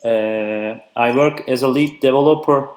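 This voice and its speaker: Young man, mid-pitched and loose, talking shop over an echoey remote feed. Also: a little slow, a little mellow. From Turkey. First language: Turkish. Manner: frequent "uh" fillers, loose and chatty. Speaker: conference speaker. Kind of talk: casual technical talk. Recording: echoey remote call audio.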